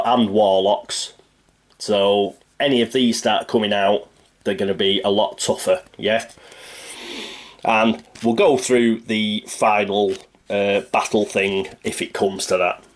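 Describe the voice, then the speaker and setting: A man's voice: fairly low pitch, fairly even intonation, unhurried. A man with a stuffy nose from a cold, chatting casually through a board game setup and thinking aloud with plenty of fillers. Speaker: board game channel host.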